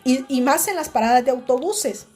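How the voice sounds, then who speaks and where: Woman, Honduran Spanish accent, fairly high voice, chatty devotional talk, soft music behind. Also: normal speed. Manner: conversational, many restarts and tag questions.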